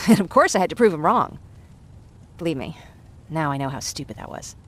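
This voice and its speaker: A woman's voice: low-pitched, sing-song, moderate pace, fairly bright tone, a little hiss or hum. An actress playing an earnest, talkative young woman who survived a war, in a video game. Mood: wistful, chatty. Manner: candid, chatty. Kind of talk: naturalistic video game acting.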